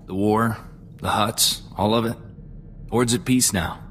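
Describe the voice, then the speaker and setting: Earnest, low-voiced young adventurer sidekick. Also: male voice, fairly even intonation, measured pace, a bit bright, slight background noise. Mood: troubled, reflective. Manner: earnest, reflective, folksy plain talk. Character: earnest, loyal young space-adventurer sidekick man, plainspoken. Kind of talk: video game cutscene dialogue.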